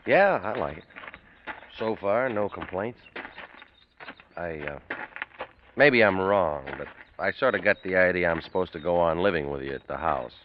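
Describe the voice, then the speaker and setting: Deep-voiced wary young drifter. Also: male voice, lively intonation, normal speed, pauses often, slight background noise. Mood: guarded. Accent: American. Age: young adult. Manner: laconic, plain-spoken, skeptical. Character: blunt, wary young drifter, working man. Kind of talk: old-time radio drama acting. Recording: dull, muffled vintage radio recording.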